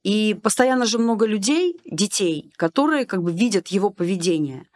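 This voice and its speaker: A woman's voice: mid-range voice, lively intonation, normal speed. A woman in casual podcast conversation, guest, telling detailed stories from her work with children.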